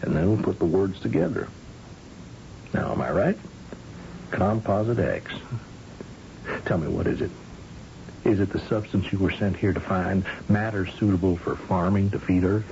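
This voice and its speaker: An actor playing a commanding, folksy governor of a space colony who questions shrewdly, in an old-time radio drama. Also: male voice, low-pitched, normal speed, creaky, long pauses, mellow. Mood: pressing, shrewd. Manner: folksy, probing, patronizing. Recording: dull, noisy old radio recording.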